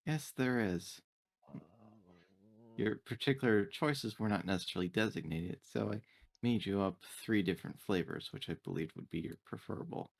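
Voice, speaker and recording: A man's voice: fairly low pitch, normal speed, gravelly. A man voicing a polite, literal-minded female android attendant in formal, precise, stilted speech for a tabletop game. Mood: polite, helpful.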